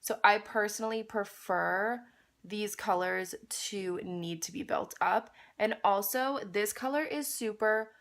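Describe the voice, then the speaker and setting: A woman's voice: mid-range voice, a little slow, fairly bright tone. A young woman beauty vlogger with a slightly nasal, congested voice from a cold, chatting to viewers while doing her makeup. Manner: chatty, addresses viewers as you guys. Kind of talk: casual makeup tutorial chat.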